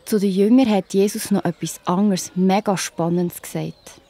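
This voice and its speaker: A woman's voice: medium pitch, fairly even intonation, moderate pace, mellow, no echo. A woman with a Swiss accent narrating a children's story, speaking directly to the young listener with wonder.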